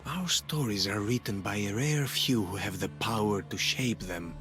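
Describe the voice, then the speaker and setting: An actor playing a philosophical, quietly menacing cult storyteller in a video game, speaking in a measured, eloquent, theatrical way. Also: male voice, lowish voice, lightly acted. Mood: reflective, courteous. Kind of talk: video game dramatic acting. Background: music.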